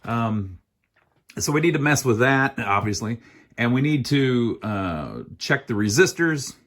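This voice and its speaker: A man's voice: mid-range voice, expressive, unhurried, fairly bright tone. An American man giving a casual hands-on repair walkthrough, thinking aloud in a folksy, plain-spoken way. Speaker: amateur radio repairer, video host.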